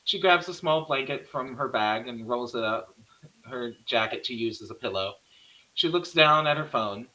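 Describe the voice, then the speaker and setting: A man's voice: mid-range voice, animated, moderate pace, sharp tone, low-quality recording. A man reading out screenplay stage directions as a narrator.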